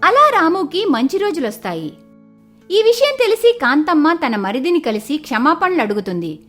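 Lady narrating a moral tale, lively up and down voice on the high side, soft music under. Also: moderate pace. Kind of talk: scripted moral story narration.

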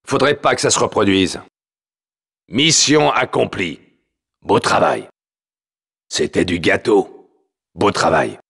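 An actor playing a tough soldier who announces a military game in a terse, commanding way. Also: male voice, on the low side, gravelly, a bit bright, husky, exaggerated voice. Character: tough male soldier calling out battle orders.

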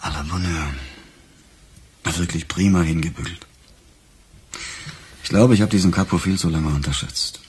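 An actor playing a sarcastic, embittered police detective in a radio crime drama, defensive and emphatic, with a husky voice. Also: male voice, low-pitched, steady tone, normal speed, pauses often, slight background noise, natural voice.

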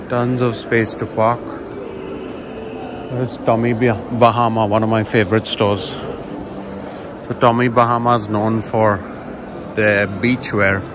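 A man's voice: medium pitch, noisy background. Chatty man touring a busy mall. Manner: relaxed, chatty tour-guide commentary. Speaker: vlogger. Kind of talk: casual walking vlog narration. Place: large indoor shopping mall. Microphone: handheld phone camera.

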